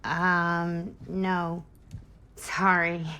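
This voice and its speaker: A woman's voice: fairly low pitch, completely flat delivery, drawn-out words, sharp tone, smooth, heavily acted. An actress playing a wry, plain-spoken, curious young woman in a video game cinematic, with a casual, slightly hesitant delivery. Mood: puzzled.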